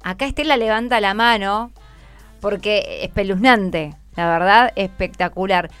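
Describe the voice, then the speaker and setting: A woman's voice: mid-range voice, measured pace, a bit bright, clean tone, no echo, soft background music. A woman with an Argentine accent hosts radio, chatting casually and effusively as she encourages young guests. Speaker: radio host. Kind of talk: casual, encouraging interview with schoolchildren. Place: radio studio.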